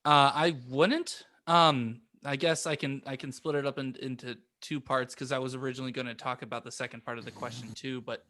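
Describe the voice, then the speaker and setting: A man's voice: mid-range voice, flat delivery, fairly quick, few pauses, pushed and bright. A man co-hosting a podcast in casual chat, rambling and often interrupting his own sentences. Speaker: podcast co-host.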